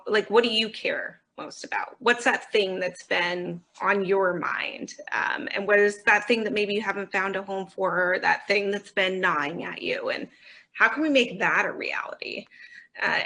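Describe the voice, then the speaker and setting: A woman's voice: mid-range voice, sharp tone. A woman, an executive on an interview panel, explaining things in an earnest, conversational way.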